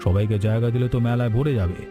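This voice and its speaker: A man playing a gruff but soft-hearted fairground gatekeeper in a cartoon fairy tale, brusque and dismissive. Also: medium pitch, racing, music in the background, close to an everyday voice. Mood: stern.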